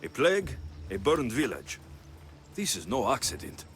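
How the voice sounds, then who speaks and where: An actor playing a bold ancient Greek mercenary hero in a video game, speaking in a direct, probing and firm way. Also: male voice, medium pitch, big pitch swings, moderate pace, natural voice. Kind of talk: dramatic video game acting. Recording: game cutscene with music behind.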